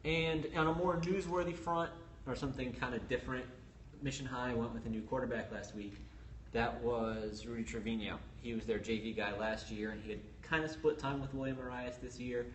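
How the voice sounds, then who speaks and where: A man co-hosting a casual sports podcast, explaining stats and game outlooks in a brisk, analytical way. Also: medium pitch, steady tone. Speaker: sports reporter co-host. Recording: roomy echo with faint ambient noise.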